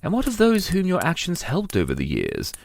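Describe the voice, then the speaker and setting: An actor playing a solemn otherworldly judge of souls, speaking formally and gravely in an audio drama. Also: male voice, on the high side, huge pitch swings, a little fast, dry recording, exaggerated voice. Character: solemn male otherworldly keeper judging souls.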